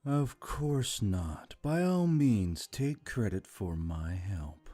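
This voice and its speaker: A man's voice: lowish voice, expressive, slow pace, mellow. A man voicing a wry, smug magical amulet that speaks with dripping sarcasm in a fantasy story. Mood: sarcastic. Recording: music bed under the voice.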